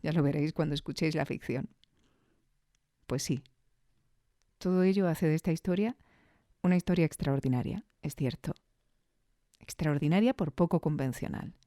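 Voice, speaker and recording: A woman from Spain with a Spanish accent, talking reflectively and conversationally as a podcast host, pausing thoughtfully for emphasis. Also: low voice, mellow, no room sound, studio quality. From Granada, Spain.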